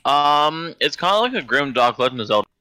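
A man's voice: on the high side, big pitch swings, brisk, sharp tone. A man, chatting casually with friends on a headset, laid-back and swearing. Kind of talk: casual chat among friends.

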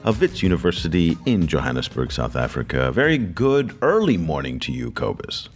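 A man hosting a podcast, talking in a relaxed conversational way and telling stories with frequent fillers. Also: rumbling low, moderate pace, soft background music. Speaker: podcast host.

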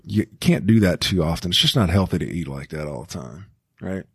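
A man with a low, gravelly voice hosts a casual chat, recorded close and dry. He talks in a folksy, enthusiastic way and likes to check in with a quick question. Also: expressive, no room sound. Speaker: podcast host.

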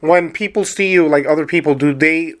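Guy hosting a chat, kinda rambly questions, slightly high voice, phone-ish sound. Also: fairly quick. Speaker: interview host. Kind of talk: casual interview.

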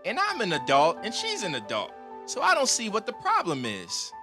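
A man's voice: sits high, wildly swooping pitch, normal speed, music in the background, heavily acted. A man playing an eager, cocky young son. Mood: coaxing. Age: 20s. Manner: upbeat, defiant. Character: eager 22-year-old son, cocky and lovestruck.

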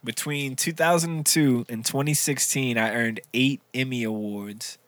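Bright-voiced man reading trivia clues. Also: mid-range voice, fairly even intonation, measured pace, no echo. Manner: reads trivia clues aloud, laughing often. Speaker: quiz reader in a drinking game. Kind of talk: casual game chat.

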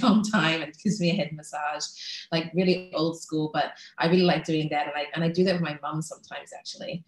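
Pregnant woman in her 30s chatting thru a talk, low voice, lots of ums, bit of room echo. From Malaysia, raised in New Zealand. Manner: chatty, many ums and restarts. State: heavily pregnant. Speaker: guest speaker. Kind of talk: casual talk on a video call.

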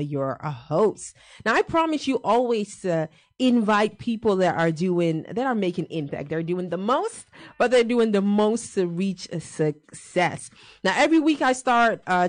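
Bubbly woman radio host, laughing often. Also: medium pitch. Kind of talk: casual radio interview. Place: radio studio.

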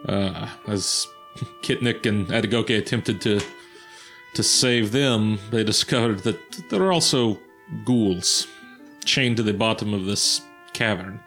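A man's voice: fairly low pitch, unhurried, a bit bright, soft background music. A man recapping a tabletop adventure in character, with formal, old-fashioned phrasing and hesitations. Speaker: player recapping the story.

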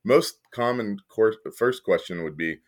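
Podcast guest: deep-voiced male arts director, relaxed, halting, filler-heavy. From Kentucky. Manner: thoughtful, many fillers and restarts, occasional laughs. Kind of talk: casual interview conversation.

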